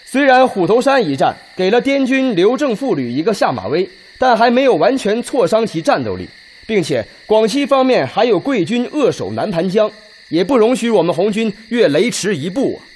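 A man playing a forceful, sceptical Red Army officer in a radio drama, with emphatic, declamatory delivery. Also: high-pitched, very animated, normal speed, breathy edge, full character voice. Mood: urgent, doubtful. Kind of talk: Chinese radio drama acting.

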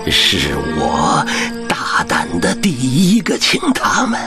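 An actor playing an old African village chief and doting grandfather in an earnest, expressive radio drama. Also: mid-range voice, slow, over loud music. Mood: tender, reminiscing. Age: older.

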